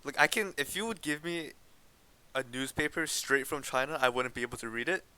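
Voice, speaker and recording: A man's voice: medium pitch, brisk, bright, forceful tone, smooth. A teenage boy from Canada co-hosting a podcast, chatting casually and hesitantly, often restarting and laughing as he explains. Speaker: podcast co-host. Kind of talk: casual chat.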